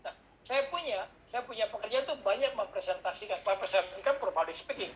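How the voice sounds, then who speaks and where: A man giving a casual workshop talk as guest speaker, chatty and animated, telling anecdotes in a slangy way. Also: high-pitched, a little fast, highs cut off, a little hiss or hum. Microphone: online call mic.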